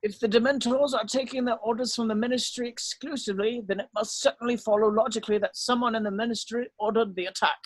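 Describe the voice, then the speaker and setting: Wise old wizard advocate read by a man, notably high voice, dry close sound, polite and measured. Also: steady tone, no echo. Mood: pointed. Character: wise, calm, courteous old wizard headmaster defending the accused. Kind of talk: amateur online table read of a screenplay.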